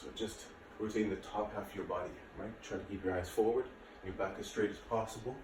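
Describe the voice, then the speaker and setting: Man, late thirties, deep pitch, laid-back instructor delivery, casual coaching, roomy echo. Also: a little mellow. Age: late 30s. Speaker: martial arts and fitness instructor. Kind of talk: casual workout instruction to camera. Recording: echoey room, distant mic, faint noise.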